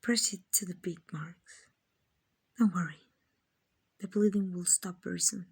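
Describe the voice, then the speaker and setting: A woman playing a kind, doting vampire owner in an ASMR roleplay, soft and often whispering, gently coaxing and reassuring. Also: lowish voice, steady tone, a little slow, gravelly, slightly rough, exaggerated voice. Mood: soothing, coaxing. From Mexico. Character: kind, doting, genteel female vampire owner, soothing and possessive.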